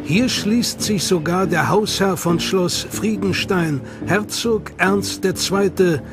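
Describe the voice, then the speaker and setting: A man's voice: high voice, slow pace. A man narrating a documentary from a script with a dramatic, suspenseful delivery. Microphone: studio mic. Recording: studio voice-over over loud music.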